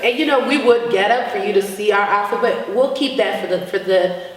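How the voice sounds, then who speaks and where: A woman's voice: medium pitch, fairly quick. A woman hosting a talk show, gushing and leading the questions. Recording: echoey hall, mic far off.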